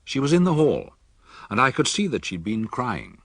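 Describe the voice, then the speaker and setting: A man playing a measured, inquisitive gentleman doctor who narrates and questions in a radio drama. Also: medium pitch, wildly swooping pitch, breathy edge, no room sound, heavily acted. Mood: narrating, reflective. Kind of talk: radio drama acting and first-person narration.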